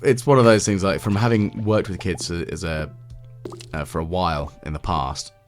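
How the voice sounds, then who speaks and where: A British man with a deep voice giving relaxed, meandering gameplay commentary with gentle, wry asides. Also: normal speed, faint music behind. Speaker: let's play video host.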